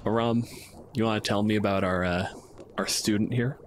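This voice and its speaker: A man's voice: fairly low pitch, fairly even intonation, moderate pace, very noisy. A man hosting a podcast, casually discussing and improvising scenes as a game master.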